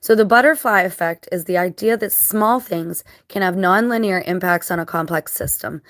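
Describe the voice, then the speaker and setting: A woman speaks in a fairly low, scratchy voice, a little dry-mouthed after crying. She talks casually and earnestly to her viewers, halting as she thinks things through. The sound is close and dry, with little room around it. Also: fairly bright tone, no room sound. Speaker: vlogger.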